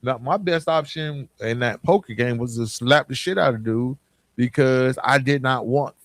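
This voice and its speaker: A man's voice: medium pitch, moderate pace, a bit bright, no room sound. An American man hosting a podcast, delivering an informal motivational monologue that addresses the listener directly with rhetorical questions. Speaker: podcast host.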